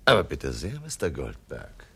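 Smooth-talking, lively gentleman crook. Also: extremely low pitch, moderate pace, heavily acted. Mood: courteous, composed. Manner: polite, mocking, eloquent. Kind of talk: 1970s German radio crime comedy acting.

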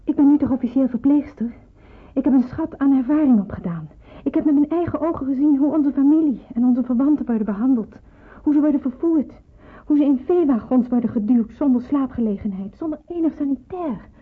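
An actress playing an earnest, resigned young nurse in a radio drama, speaking hesitantly. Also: female voice, high-pitched, brisk, natural voice. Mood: earnest, determined. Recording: muffled, narrow-band old radio play, hissy.

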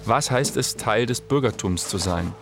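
Low-voiced male narrator over music. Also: animated, normal speed, vocal fry. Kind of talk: scripted documentary narration.

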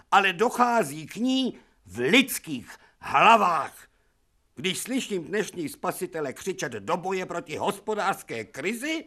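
An actor playing an imperious, irascible older professor, declaiming sarcastic rhetorical questions in a theatrical radio drama. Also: male voice, sits high, bright, forceful tone, heavily acted. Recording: music faintly behind the voice.

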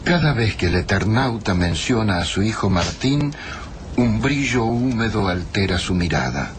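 A man's voice: fairly low pitch, big pitch swings, normal speed, a little hiss or hum. A man giving literary narration in a radio drama.

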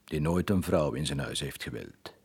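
A sombre village man narrating in a Flemish radio drama. His voice is very low and rough with husk. He muses solemnly, like one of a chorus. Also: normal speed, heavily acted. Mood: sombre, musing.

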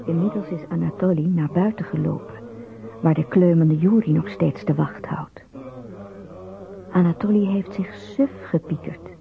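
Creaky-voiced folk-tale storyteller woman. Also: lowish voice, fairly even intonation, moderate pace, narrow, tinny audio, a crowd in the background. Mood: hushed, narrating. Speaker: narrator. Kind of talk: scripted radio play narration.

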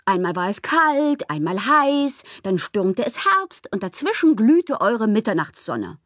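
An actress playing a passionate, capricious bohemian woman in a literary radio drama, theatrical and intimate, with a breathy voice. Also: medium pitch, very animated, a little slow, fairly bright tone, dry recording, studio quality. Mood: restless, capricious. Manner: theatrical, intimate, often dropping to breathy murmurs. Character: dreamy, passionate, capricious bohemian woman writing love-struck letters.

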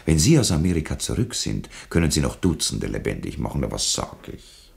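Very deep, creaky Austrian bureaucrat. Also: male voice, very animated, a little fast, darkish tone, heavily acted. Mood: cajoling. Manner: unctuous, cajoling, officious. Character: smooth-talking, patronising male government official, insistent and oily. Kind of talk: German-language radio play dialogue.

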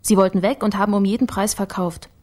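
An actress playing a shrewd, confident computer researcher in a German radio crime drama, speaking matter-of-factly. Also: female voice, mid-range voice, steady tone, fast, darkish tone, lightly acted. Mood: cool, explanatory.

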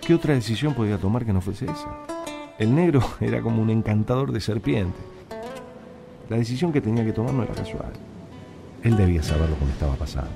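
A man's voice: on the low side, expressive, fast, creaky, music in the background. A man with a Rioplatense Argentine accent acting a troubled, working-class factory worker in a radio drama, slangy and reflective. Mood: brooding, reflective. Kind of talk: radio drama acting and first-person narration.

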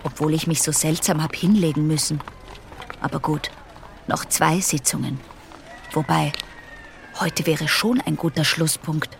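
A woman's voice: low voice, steady tone, a little slow, vocal fry, pauses often, a little hiss or hum. An actress playing a restless, defiant teenage girl musing to herself in a radio drama monologue. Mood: brooding, calculating.

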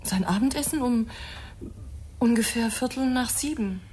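A woman's voice: on the high side, normal speed, darkish tone, a little hiss or hum, lightly acted. An actress playing a distraught, defensive mother under police questioning in a German radio crime drama, hesitant and strained. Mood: anxious, hesitant.

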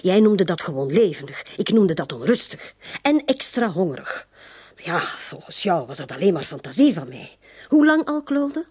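A woman's voice: on the low side, very animated, normal speed, darkish tone, slightly rough, no echo, clean studio sound. An actress playing a worried, stern middle-aged wife and mother, speaking pointedly in a radio drama. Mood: suspicious, insistent. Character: worried, insistent mother and wife, sharply suspicious.

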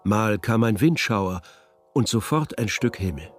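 A man's voice: lowish voice, lively intonation, a little slow, soft background music. A man narrating a literary radio drama as scripted prose.

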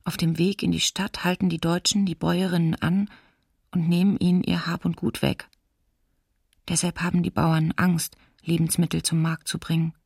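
An actress playing a young student in wartime, reading her diary plainly and quietly subdued in a documentary radio play. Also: fairly low pitch, level tone, normal speed, vocal fry, slightly rough, no room sound, clean studio sound. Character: young female student diarist enduring wartime hunger.

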